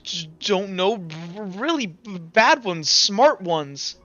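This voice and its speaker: A man voicing an anxious, hesitant teenage boy in a tabletop game, stammering and halting as he talks. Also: high-pitched, very animated, bright, forceful tone, dull audio, faint music behind, full character voice. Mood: uneasy, halting. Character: anxious, hesitant teenage boy named K one, recalling bad news.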